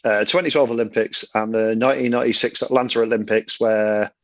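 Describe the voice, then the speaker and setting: A man's voice: lowish voice, steady tone, fairly quick, fairly bright tone, no room sound. A man co-hosting a casual football podcast over his phone, full of self-deprecating, jokey asides. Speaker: podcast co-host. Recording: dull phone recording.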